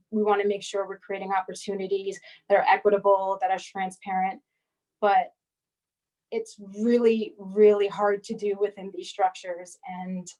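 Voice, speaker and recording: A woman's voice: mid-range voice, a little mellow, poor audio. A woman from Barbados, giving an informal talk as an invited speaker, with fillers and restarts. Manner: conversational, with fillers and self-corrections. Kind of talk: personal talk at a live-streamed arts event.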